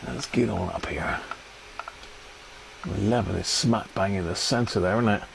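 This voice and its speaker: A man's voice: on the low side, unhurried, vocal fry, noisy background. A British man hosting a livestream, chatting casually and colloquially as he thinks aloud. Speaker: livestream host. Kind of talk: casual rambling chat to live viewers.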